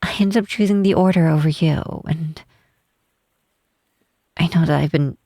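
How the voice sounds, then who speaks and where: A woman playing a shy, guilt-ridden half-troll cleric in an intimate ASMR roleplay, speaking softly and hesitantly with apologetic pauses and sighs. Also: low-pitched, no room sound, clean studio sound. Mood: guilty, regretful. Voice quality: soft, husky, breathy.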